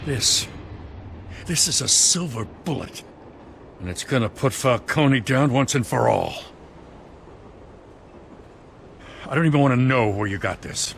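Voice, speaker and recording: A man playing a weary, honest middle-aged police lieutenant in a dramatic video game, blunt and plain-spoken with a gravelly voice. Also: medium pitch, animated, normal speed, fairly bright tone, slight background noise. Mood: impressed, intent.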